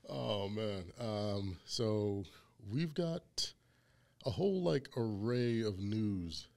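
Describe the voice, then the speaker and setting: A man with a deep baritone voice hosting a casual barbecue podcast, joking and laughing through the banter. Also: expressive, very slow, creaky, a little mellow. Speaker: podcast host.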